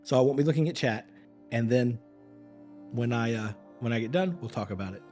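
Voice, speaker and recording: Male acting coach hosting a live stream: tired, voice fraying, rambling earnest chat at an average pitch. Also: lively intonation, a little fast, darkish tone, soft background music. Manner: rambling, earnest, repeats words as he restarts. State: tired, voice wearing thin.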